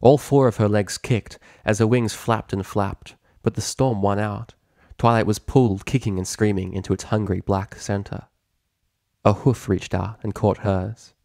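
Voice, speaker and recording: A man's voice: lowish voice, steady tone, unhurried, creaky, darkish tone, dry recording, studio quality. A man reading fiction aloud as a dramatic, scripted story narrator.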